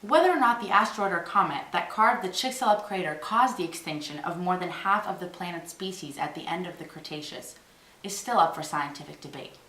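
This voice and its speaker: Lower-voiced woman narrator, roomy and distant. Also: a little fast, bright, forceful tone, slight background noise. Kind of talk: scripted documentary narration. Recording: roomy echo, distant from microphone.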